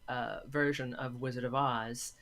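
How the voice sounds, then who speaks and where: A woman's voice: extremely low pitch, steady tone, measured pace, a bit bright. A woman chatting casually as an interview guest, enthusiastic and emphatic, often repeating words for stress. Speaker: interview guest, audio drama maker.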